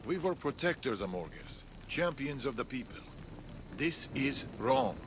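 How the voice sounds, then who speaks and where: A man's voice: medium pitch, very animated, a little slow, noisy background. An actor playing a grave, protective older warrior and grandfather in a dramatic video game, intense and earnest. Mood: grave, pleading. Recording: loud music behind the voice.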